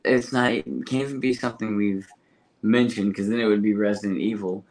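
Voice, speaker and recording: A man's voice: on the low side, fairly even intonation, brisk, darkish tone, slight background noise, poor audio. Hesitant man as podcast guest. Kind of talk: casual chat.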